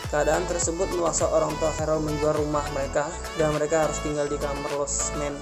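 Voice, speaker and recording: A young man, a student reading a prepared presentation aloud in a halting way, stumbling over and repeating words. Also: on the high side, completely flat delivery, gravelly. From Indonesia. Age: young adult. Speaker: university student giving a presentation. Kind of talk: scripted narration read aloud. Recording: music playing behind the voice.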